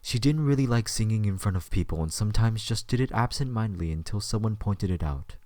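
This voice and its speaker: A man's voice: lowish voice, animated, fast, a little mellow, no room sound. A man narrating a scripted story as the narrator of an audio drama.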